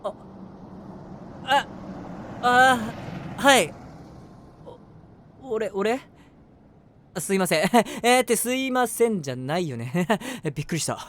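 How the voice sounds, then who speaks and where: A man's voice: sits high, takes it slowly, pauses often, a little hiss or hum, full character voice. A man playing a lovestruck, self-deprecating young man who narrates his crush in a chatty, flustered way in a voice drama. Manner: flustered, animated, self-mocking, talking to himself. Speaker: voice actor. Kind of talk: first-person situation voice drama, chatty narration with acted reactions.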